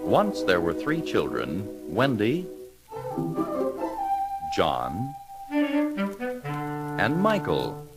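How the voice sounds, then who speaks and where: A man with a lowish, lively voice narrates a children's storybook. He reads from a script with very varied intonation, and loud music plays behind him. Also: extremely slow, pauses often, poor audio.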